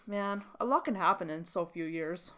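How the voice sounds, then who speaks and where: A woman's voice: lowish voice, moderate pace, fairly bright tone. Young Canadian woman in casual podcast chat. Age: mid twenties. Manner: informal, sweary. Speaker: podcast co-host.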